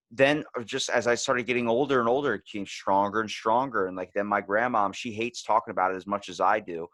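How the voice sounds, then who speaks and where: A man with a fairly low voice talks as a podcast host in a very dry-sounding space. He rambles candidly through personal anecdotes, casual and loose, with swearing dropped in freely. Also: fairly bright tone, dry recording.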